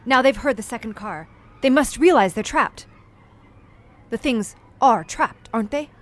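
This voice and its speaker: A woman's voice: fairly high pitch, lively intonation, unhurried. An actress playing an inquisitive, earnest young woman visitor in an audio horror drama, with proper, careful phrasing. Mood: alarmed.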